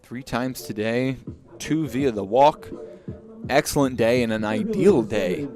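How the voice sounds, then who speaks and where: A man's voice: medium pitch, measured pace, over music. A man giving analysis as a color commentator on a live baseball broadcast.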